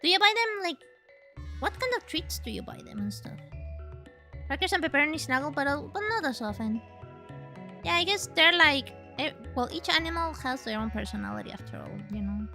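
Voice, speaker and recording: A woman from Chile chatting casually and excitedly while streaming a game, with the odd swear. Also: high-pitched, fairly quick, creaky, soft background music. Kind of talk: casual gaming livestream chat.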